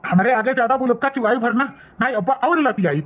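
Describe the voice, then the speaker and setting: A man's voice: extremely high pitch, fast talker, fairly bright tone. Angry, scolding Bhojpuri village bully played by a man. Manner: scolding, sneering. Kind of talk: comedy cartoon voice acting.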